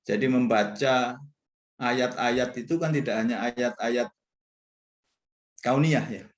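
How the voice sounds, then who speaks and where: A man's voice: medium pitch, fairly even intonation, slow pace. Middle-aged man lecturing, echoey sound. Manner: conversational, rambling, self-deprecating, frequent tag words. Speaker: university professor speaking as guest mentor. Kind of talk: informal religious lecture to students.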